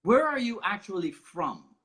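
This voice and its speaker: A man from Barbados hosting a casual conversation, talking in an animated, chatty way and laughing often. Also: high-pitched, a little slow. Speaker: host.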